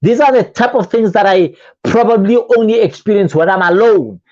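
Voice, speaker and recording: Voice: mid-range voice, moderate pace, a little mellow, dull audio. A motivational coach giving a talk full of rhetorical questions and repeated phrases, often restarting words.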